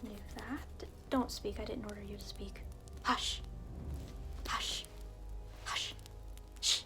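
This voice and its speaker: A woman's voice: medium pitch, fairly even intonation, slow, creaky. A woman voicing a smug, sardonic evil alter ego who greets newly arrived souls in hell, in a whispered ASMR roleplay, drawling and mocking with rambling asides. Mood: scornful. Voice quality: whispery, soft-spoken. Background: music.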